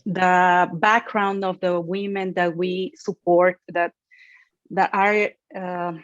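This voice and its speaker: A woman's voice: lowish voice, level tone, slow, no echo. A woman with a Mexican accent and Spanish as her first language, hesitantly searching for English words in an interview. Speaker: panel guest, event organizer. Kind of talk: conversational interview. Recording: dry, dull lo-fi audio.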